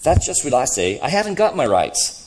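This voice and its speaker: A man's voice: a little high, big pitch swings, moderate pace, noisy background, pitched above the performer's own voice. A man voicing a sulky, belligerent ghost of a working-class boss in a storybook reading, blustering and self-righteous. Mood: aggrieved, blustering.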